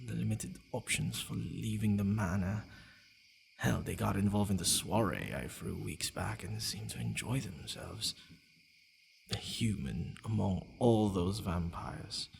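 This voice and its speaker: Guy doing a low gravelly vampire boyfriend for asmr, flustered but tender, lots of hesitant sighs, slight background noise. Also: full character voice. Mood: weary, musing. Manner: hesitant, sighing, murmuring to himself. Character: possessive male vampire lord, flustered, secretly tender. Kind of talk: ASMR boyfriend roleplay audio.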